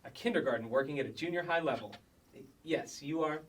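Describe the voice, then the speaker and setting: A man playing a polite female substitute teacher with measured, formal speech in a sketch comedy reading. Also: a little high, natural voice. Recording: slightly distant microphone.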